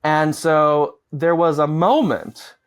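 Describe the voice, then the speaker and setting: A young man from the United States, a guest on a video call, explaining things at length in an earnest, measured way through wired earbuds. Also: on the high side, clean tone. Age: young adult. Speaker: guest, advocate. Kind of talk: explanatory interview talk. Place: indoor home room.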